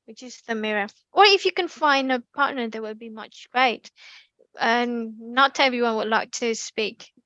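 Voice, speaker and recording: Bright-voiced Indonesian woman presenting. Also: fairly high pitch, dry recording, low-quality recording. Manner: lively, chatty, frequent ums and restarts. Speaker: community speaking presenter. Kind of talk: online class presentation. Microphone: computer mic on a video call.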